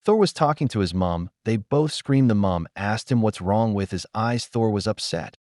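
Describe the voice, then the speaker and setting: A man's voice: on the low side, expressive, normal speed, darkish tone, dry recording, studio quality. A man retelling a story as an amateur reviewer, in short, choppy, loosely worded sentences. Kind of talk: simple spoken retelling of a film's plot.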